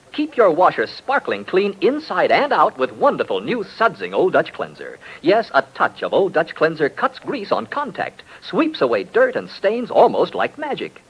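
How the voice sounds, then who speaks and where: A man announcing in 1940s radio style, narrating and pitching products with emphatic, promotional delivery. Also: wildly swooping pitch, normal speed, few pauses, slightly rough, faint music behind. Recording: dull, lo-fi old radio broadcast.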